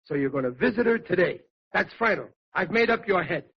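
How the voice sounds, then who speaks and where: An actor playing a blustery, good-natured working-class father in a 1940s radio sitcom, with a husky voice and folksy, emphatic, ungrammatical talk. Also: high voice, lively intonation, a little fast, very noisy. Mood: insistent. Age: middle-aged. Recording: muffled vintage radio recording.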